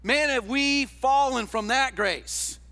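A man preaching a sermon as a pastor, conversational and emphatic, tossing out rhetorical questions and swinging between raised and hushed delivery. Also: extremely high pitch, slow, vocal fry. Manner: conversational, with rhetorical questions and tags like "all right?". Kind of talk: sermon to a congregation.

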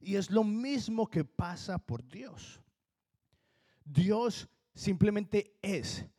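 A Colombian-accented man delivers a sermon with earnest emphasis. His voice sits a little high for a man. He slows right down to lean on the key words. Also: animated, warm tone, husky. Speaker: pastor.

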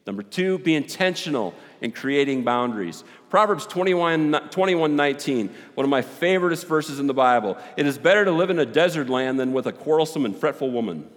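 A man preaching a sermon with a big voice, joking and animated, swinging between loud and quiet. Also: on the high side, a little fast, hardly any pauses. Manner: animated, joking, with rising and falling volume. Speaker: pastor. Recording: long hall reverb.

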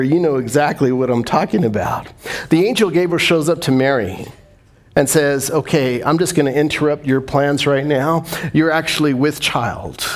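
A man preaching a lively, conversational sermon to his congregation, calling on them to respond. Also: on the high side. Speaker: pastor.

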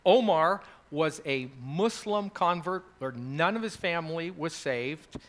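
A man's voice: a little high, lively intonation, unhurried, fairly bright tone. A man hosting a college gathering, telling stories to a group in a chatty, rambling way with many fillers. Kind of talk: casual address to a group.

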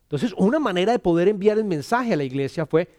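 A middle-aged man from Colombia, preaching an earnest sermon, often repeating words as he starts and dropping to a soft hush on scripture. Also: high voice, animated, fast, no room sound, studio quality. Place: church.

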